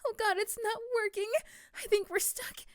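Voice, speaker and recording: Actress as anxious young office crush for ASMR audio drama. Female, sweet, very high voice, dry recording. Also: steady tone, moderate pace, a bit bright, no echo. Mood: panicked.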